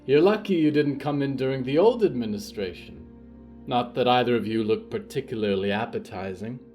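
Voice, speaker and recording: A jaded pale woman played by a man, speaking quickly with dry disdain. She sounds sardonic and predatory, a figure in a dark audio tale. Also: mid-range voice, lively intonation, faint music behind, close to an everyday voice. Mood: disdainful. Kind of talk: audio fiction storytelling.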